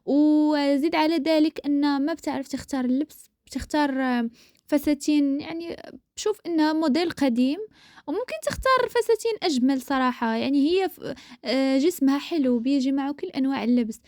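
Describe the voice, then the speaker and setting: Fast, high-voiced woman chatting casually. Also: darkish tone, no echo, clean studio sound. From Maghreb. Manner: chatty, opinionated, easy laughter; speaks in a levelled dialect mix, not her own. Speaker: co-host. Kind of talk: casual chat.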